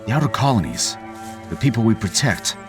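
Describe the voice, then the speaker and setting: A man's voice: lowish voice, big pitch swings, brisk, over music. A man playing a stern, bitter middle-aged father in an argumentative, commanding way for an audio drama. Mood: grave, guarded. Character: stern, bitter father, anti-military colonial speaker.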